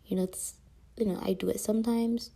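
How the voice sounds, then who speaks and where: Young woman vlogger, husky and gravelly, mellow tone, rambling talk with whispered asides. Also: mid-range voice, steady tone, brisk. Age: young adult. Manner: rambling, frequent fillers, drops into whispers. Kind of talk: casual monologue to camera.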